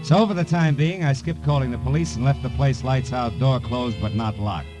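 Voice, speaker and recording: An actor playing a wry, persistent private eye in 1950s radio noir, clipped and slangy, on an old recording. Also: male voice, medium pitch, normal speed, over music, natural voice. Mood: wry narrating. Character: hard-boiled male private eye, wry, persistent, fast-talking. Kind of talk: 1950s radio noir acting, first-person narration and dialogue.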